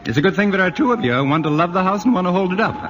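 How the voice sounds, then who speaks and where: A dry, sardonic lawyer friend. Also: male voice, high-pitched, lively intonation, rapid-fire, a bit bright, lo-fi sound. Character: wry, skeptical lawyer friend. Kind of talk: 1940s radio acting.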